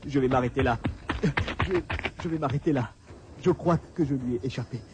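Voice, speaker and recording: A man's voice: medium pitch, a little fast, close to an everyday voice. An actor playing a frightened man hunted by an undead creature in a radio drama, anxious, stammering and repeating himself. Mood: shaken, fearful. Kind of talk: radio horror drama acting. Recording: clearly noisy radio drama track.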